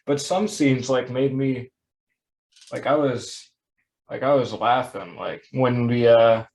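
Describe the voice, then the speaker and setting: A man's voice: mid-range voice, slow pace, darkish tone. A young man hosting a casual interview, easygoing and leaning on lots of "like" fillers. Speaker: podcast host.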